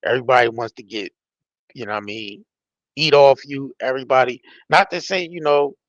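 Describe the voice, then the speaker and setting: A man's voice: mid-range voice, fairly quick, dry recording, muffled recording. An American man giving casual, off-the-cuff commentary in a colloquial, conversational way, often checking that the listener follows. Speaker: reaction show commentator.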